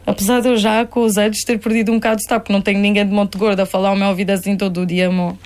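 A young woman from Spain speaks with an Algarve Portuguese accent at an ordinary middle pitch. She chats freely as an interview guest, breaking off mid-thought and laughing between phrases. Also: level tone, racing, darkish tone, clear, resonant tone. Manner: chatty, laughs often, trails off and restarts. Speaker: singer-songwriter guest. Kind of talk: casual interview chat.

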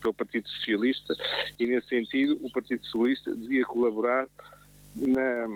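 A man's voice: medium pitch, little pitch movement, normal speed, phone-quality sound. Man arguing his point on air. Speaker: radio caller. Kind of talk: call-in opinion.

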